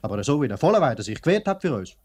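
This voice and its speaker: An actor playing a loyal, emphatic factory worker in a 1950s Swiss German dialect radio play. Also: male voice, medium pitch, sing-song, rapid-fire, a little mellow. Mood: gleeful. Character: loyal, enthusiastic factory worker praising his comrade.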